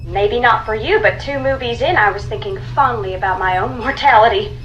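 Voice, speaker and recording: An actress playing a calm, wry young woman investigator with dry humor in a video game. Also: a little high, a little fast, close to an everyday voice. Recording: dull game audio, faint ambient noise.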